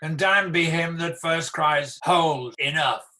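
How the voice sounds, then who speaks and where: A man's voice: fairly high pitch, slow pace, noisy background. An amateur actor playing a doomed, defiant warrior king in a radio play, declaiming weighty Shakespearean verse. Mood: desperate defiance. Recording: home recording over video call.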